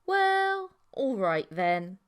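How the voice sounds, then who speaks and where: A woman's voice: on the high side, big pitch swings, drawn-out words, heavily acted. A woman playing an awkward, easily flustered geeky young man in an audiobook, hesitant and submissive to his girlfriend. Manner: hesitant, stammering.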